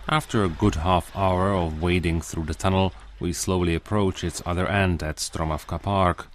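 A man reporting a scripted radio feature, narrating in a measured, even way. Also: sits low, slight background noise.

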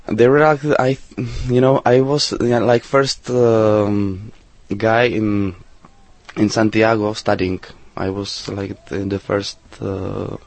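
A man answering interview questions in halting English, full of fillers and restarts. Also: fairly low pitch, fairly even intonation, darkish tone, no room sound. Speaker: interview guest, DJ.

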